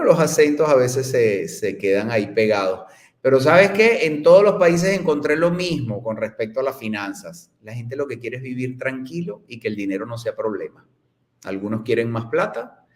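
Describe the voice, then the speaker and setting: A man's voice: mid-range voice, reverberant. Earnest man with a Venezuelan accent. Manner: earnest, encouraging, emphatic. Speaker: guest. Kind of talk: online panel conversation.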